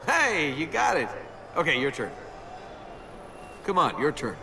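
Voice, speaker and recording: A man's voice: fairly high pitch, very animated, unhurried, vocal fry, a little hiss or hum, heavily acted. A man playing a cocky young adventurer and thief in a video game, sarcastic and teasing in conversational banter. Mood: playful. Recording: loud music behind the voice.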